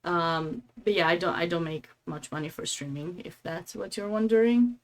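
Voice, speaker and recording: A woman's voice: fairly low pitch, normal speed. A woman from Romania with a Romanian accent, giving casual, chatty live game commentary as a streamer, with affectionate asides.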